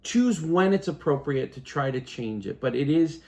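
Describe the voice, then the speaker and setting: A man in his fifties, a father explaining things in a calm, casual chat at home, pausing and restarting as he thinks. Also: a little high, gravelly. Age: 50s. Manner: thoughtful, halting, many restarts and fillers. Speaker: parent.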